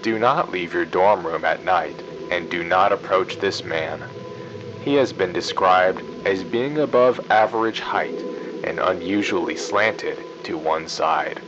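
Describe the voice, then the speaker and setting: A man playing a stiff, ominous school principal reading a formal, measured announcement in a retro public service style. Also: sits low, background noise. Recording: dull lo-fi 1990s tape broadcast. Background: music.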